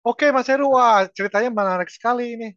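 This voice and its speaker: A man's voice: extremely high pitch, fast, a bit bright, no room sound. A man hosting a casual interview, responding eagerly with frequent short affirmations. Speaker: podcast host. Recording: dry, slightly dull sound.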